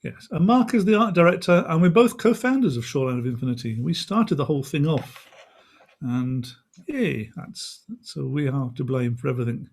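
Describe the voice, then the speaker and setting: A man's voice: a little high, very animated, normal speed, a little mellow. A man co-hosting an online event on a video call, speaking in a casual, unscripted and chatty way. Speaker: co-host, magazine editor and co-founder.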